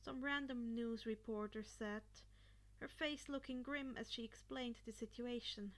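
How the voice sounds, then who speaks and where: A woman narrating fan fiction as an expressive scripted audiobook reading. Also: on the high side, measured pace. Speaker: podfic narrator.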